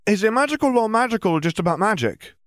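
A man's voice: high voice, very animated, fast, no room sound, studio quality, voice pushed up. A man voicing a curious, bookish little girl with an inquisitive manner, in an audiobook story.

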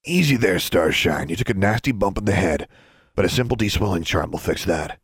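A man playing a kindly, folksy older groundskeeper who scolds gently. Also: sits low, animated, brisk, slightly rough, no room sound, studio quality, exaggerated voice. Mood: gently scolding.

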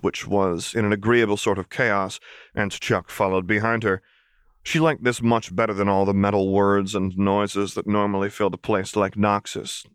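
A man reading a story as an audiobook narrator, expressive and wry in his storytelling. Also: fairly low pitch, clean tone, no echo, clean studio sound. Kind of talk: expressive scripted fiction narration.

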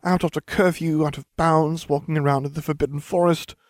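A man's voice: on the high side, sing-song, fast, a little mellow, dry recording, studio quality, pitched above the performer's own voice. A man voicing a prim, fretful young schoolgirl with an indignant, scolding manner in an audiobook.

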